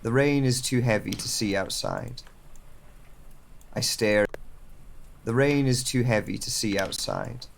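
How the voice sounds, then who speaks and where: A man playing a pensive amnesiac in an audio drama monologue, brooding in short, halting phrases as he gropes for memory. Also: medium pitch, gravelly, slight background noise. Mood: pensive, searching. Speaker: voice actor. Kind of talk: audio drama monologue, journal-like first-person narration.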